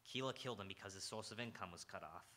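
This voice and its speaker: A man's voice: on the low side, quick pace, sharp tone. A man playing a blunt, world-weary Broadway detective in an old-time radio crime drama, with terse, dramatic delivery on a live stage. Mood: forceful. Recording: live stage recording with faint noise.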